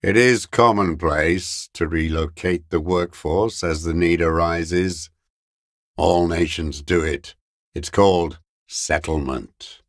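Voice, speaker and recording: Game role: aged revolutionary holdout, deep-voiced, very slow delivery, scornful, weary and unrepentant. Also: male voice, no room sound, clean studio sound. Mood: grim, resigned. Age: older. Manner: contemptuous, ideological, slow and weary. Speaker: video game character actor. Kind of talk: dramatic game voice acting, bitter monologue.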